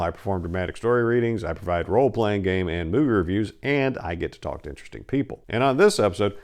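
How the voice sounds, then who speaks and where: Low-voiced man introducing his channel. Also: darkish tone. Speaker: writer hosting a story-reading channel. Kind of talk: casual scripted channel introduction.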